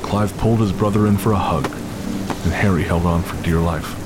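A man narrating an audio drama in a grave, measured way. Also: low voice, steady tone, heavy background noise. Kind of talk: scripted narration. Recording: loud music bed under voice.

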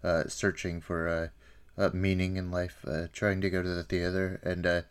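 A man in his thirties talking casually about film as a podcast co-host, halting and wry. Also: low-pitched, completely flat delivery, smooth. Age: 30s.

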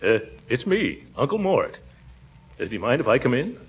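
An actor playing a blustering, put-upon but soft-hearted middle-aged uncle in a 1940s radio comedy, hesitant and chuckly. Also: male voice, medium pitch, big pitch swings, moderate pace, slightly rough, faint music behind. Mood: gently consoling. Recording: muffled old radio recording, noisy, audience.

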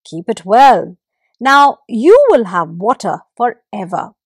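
A woman voicing a kind male creator god who comforts and commands the animals in a warm, reassuring way. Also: fairly high pitch, very animated, moderate pace, no room sound, studio quality.

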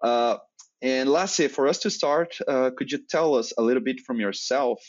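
A man from Brazil, a Portuguese native speaker with a Brazilian accent, hosting a podcast interview in a measured way with frequent "uh" fillers. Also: medium pitch, lo-fi sound. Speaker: podcast host. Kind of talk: semi-scripted podcast intro and interview.

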